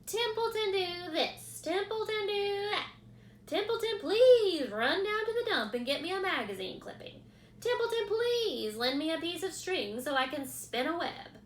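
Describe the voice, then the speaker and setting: A grumpy, lazy rat voiced by a woman reading aloud grumbles loudly with sarcastic swoops in his voice. He sneers and mocks rather than helps. The sound has an echoey room around it. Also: high-pitched, sing-song, measured pace, pitched above the performer's own voice, full character voice. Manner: sneering, mocking, gruff.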